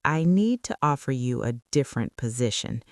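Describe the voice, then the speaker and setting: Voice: medium pitch, sing-song, moderate pace, a little mellow, dry recording, clean studio sound. A narrator voicing a controlled, guarded billionaire boss and single father in an audiobook. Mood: curt, controlled.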